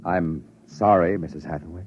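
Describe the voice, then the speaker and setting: A man acting in a 1950s radio drama as a compassionate, authoritative captain, earnest and deliberate, on a muffled old recording. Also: low-pitched, husky, soft background music. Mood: grave, gentle. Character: compassionate, authoritative male spaceship captain, grave and understanding.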